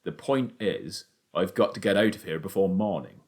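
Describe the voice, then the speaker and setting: Storybook role: trapped, injured dad acted by a man, deep-leaning voice, affectionate, steady under strain. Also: moderate pace. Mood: pained but steady.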